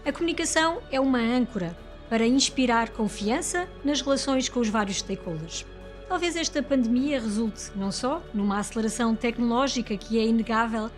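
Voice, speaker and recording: A woman with a European Portuguese accent reads a scripted corporate report as narrator. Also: fairly high pitch. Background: music.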